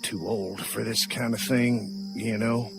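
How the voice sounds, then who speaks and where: A man voicing a stooped, prematurely graying man who talks in a hushed, uneasy and hesitant way. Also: fairly low pitch, steady tone, slow, vocal fry, music in the background, pitched below the performer's own voice. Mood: nervous.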